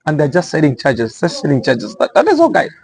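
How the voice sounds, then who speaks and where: A man's voice: a little high, wildly swooping pitch, quick pace, gravelly, a little mellow, a little hiss or hum. A man with a Zambian accent giving small business advice in an informal explainer style, repeating phrases for emphasis.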